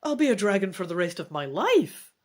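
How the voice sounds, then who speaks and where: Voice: mid-range voice, very animated, fairly quick, darkish tone. Young royal apprentice turned dragon, earnest and bold, swooping intonation, storybook drama played by a narrator. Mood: shocked. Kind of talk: dramatic gamebook reading.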